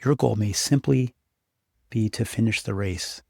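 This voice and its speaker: A man with a low, gravelly voice leads a guided visualization in a close, dry recording. He addresses the listener directly, giving instructions and encouragement in an unhurried, scripted delivery. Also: warm tone, dry recording. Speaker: meditation guide. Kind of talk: guided meditation, scripted narration.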